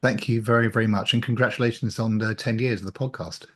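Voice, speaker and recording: Man, interview guest, slightly low pitch, casual, self-deprecating, hesitant. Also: steady tone, fast, a bit bright. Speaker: interview guest, audio drama writer.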